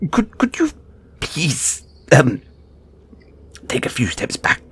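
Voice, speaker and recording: A man's voice: a little high, huge pitch swings, slow, a bit bright, slightly rough, exaggerated voice. A man acting a squeamish, timid gargoyle handler in an audio roleplay, stammering and speaking with nervous, formal politeness. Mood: flustered, disgusted.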